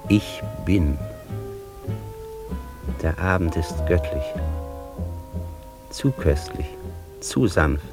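An actor playing an exalted master poet and thinker in a German radio drama, speaking in a solemn, rhapsodic way with weighty pauses. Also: male voice, extremely low pitch, exaggerated voice. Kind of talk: German radio drama acting, literary declamation.

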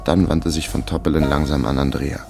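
An actor playing the first-person narrator of a radio crime drama, telling it in a measured way. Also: male voice, rumbling low, flat delivery, creaky. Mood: composed narrating. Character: male first-person narrator of a crime story, wry and composed. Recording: loud music under the voice. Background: music.

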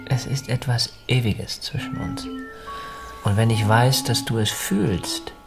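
An actor in a German radio drama playing an upper-class husband, possessive and anxious, with an insistent, mannered way of talking. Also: male voice, mid-range voice, steady tone, a little slow, gravelly, loud music behind the voice. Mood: smug reassurance.

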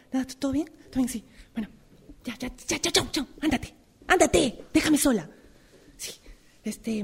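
High-pitched, jittery, excitable young woman. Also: gravelly, breathy edge. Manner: breathlessly repetitive, giggly, nervous. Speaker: radio drama workshop participant. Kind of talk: improvised one-sided dialogue exercise.

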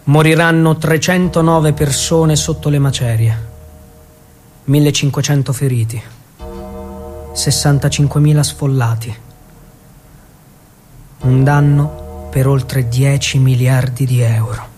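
An Italian man performing scripted theatrical narration for radio, in a declamatory, deliberate storytelling manner. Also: mid-range voice, little pitch movement, a little mellow, faint music behind. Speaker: actor and narrator of a theatre monologue.

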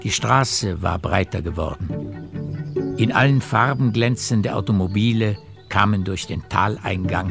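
A man narrating a literary radio play in a measured storytelling manner. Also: on the low side, creaky. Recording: music behind the voice. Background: music.

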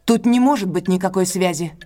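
A woman's voice: on the low side, a little fast, dark tone, lightly acted. An actress playing a proud, wronged and resolute woman in an audio drama, speaking earnestly, with emotion and formality. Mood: defiant, shocked. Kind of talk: audio drama acting, classic detective story.

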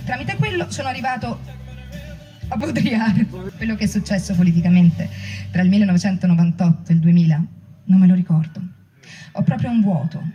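An actress playing a reflective former political activist in a dramatic reading of oral history. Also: female voice, medium pitch, mellow, close to an everyday voice. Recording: distant microphone, music underneath.

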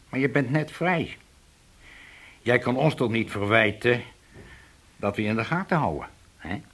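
Firm Dutch police chief. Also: male voice, medium pitch. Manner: authoritative, measured, blunt, probing with questions. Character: firm, fatherly, unbending senior police chief. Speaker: senior police officer. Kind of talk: Dutch radio play acting.

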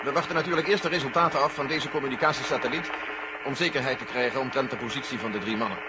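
An actor playing a measured, guarded space agency general answering questions deliberately in a radio play. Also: male voice, medium pitch, lightly acted. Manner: deliberate, hesitant, official. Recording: noisy vintage 1970 radio play recording.

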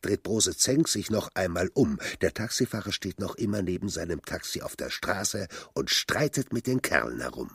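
A gravelly, husky man narrating a literary radio play and acting out its dialogue. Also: low voice, lively intonation, normal speed, hardly any pauses, dry recording, studio quality, heavily acted.

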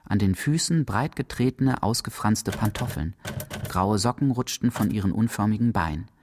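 A man with a fairly low voice reads dramatic literary prose for radio. His delivery is measured, precise and theatrical, over a faint layer of noise and clicks. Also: lively intonation. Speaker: narrator.

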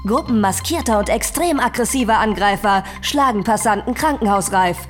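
An actress playing a serious, urgent television news presenter, reading formally in a radio drama. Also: female voice, medium pitch, animated, normal speed, over music, close to an everyday voice. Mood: grave, formal. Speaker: news anchor.

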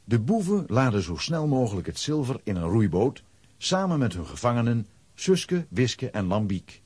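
A man narrating a children's adventure story in a scripted, dramatic style, with a husky voice. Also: mid-range voice, very animated, moderate pace, a little mellow, no room sound.

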